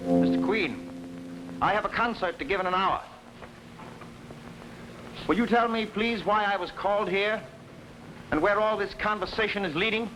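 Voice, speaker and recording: An actor playing a proud, easily affronted concert conductor in an early 1950s TV mystery, formal and imperious. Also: male voice, high-pitched, normal speed, long pauses, close to an everyday voice. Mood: impatient. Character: proud, indignant male concert conductor, recently widowed. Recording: noisy 1950s TV soundtrack.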